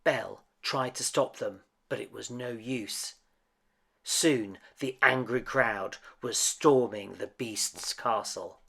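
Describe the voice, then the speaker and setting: A man's voice: mid-range voice, slow, vocal fry, fairly bright tone, husky. A man reading a children's picture book aloud as a dramatic storyteller. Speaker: storybook reader.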